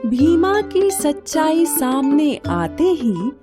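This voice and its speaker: A woman narrates a children's tale in a high, lively voice. She goes very slowly, phrase by phrase, with light music underneath. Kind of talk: scripted children's story narration.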